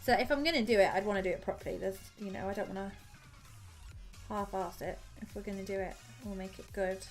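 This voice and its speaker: A woman's voice: medium pitch, steady tone, fast, faint music behind. A woman streamer chatting casually with her viewers while she cross-stitches, rambling and laughing often.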